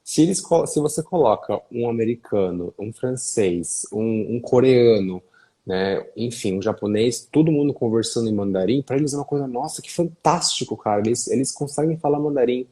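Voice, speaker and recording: A man's voice: medium pitch, expressive, moderate pace, dark tone, breathy edge. A young Brazilian man talking casually as an interview guest, sprinkling his speech with fillers and tag questions over a video call. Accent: Brazilian Portuguese.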